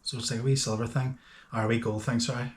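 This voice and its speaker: A man's voice: medium pitch, little pitch movement, fast talker, slight background noise. Man in an echoey room. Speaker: host of a boating gadget review channel. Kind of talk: casual unscripted product review. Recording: echoey, roomy sound.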